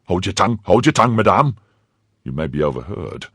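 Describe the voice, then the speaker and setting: A man voicing a pompous, cowardly middle-aged shopkeeper in his own low, husky voice. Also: sing-song, fairly quick, no room sound, studio quality, exaggerated voice. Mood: flustered. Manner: self-important, blustering. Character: pompous fifty-year-old mercer, cowardly and greedy.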